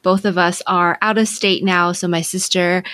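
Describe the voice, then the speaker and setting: A woman's voice: fairly low pitch, steady tone, normal speed, fairly bright tone, no echo. An American woman in her early thirties talking casually as a guest on a podcast. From California, United States. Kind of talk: casual chat.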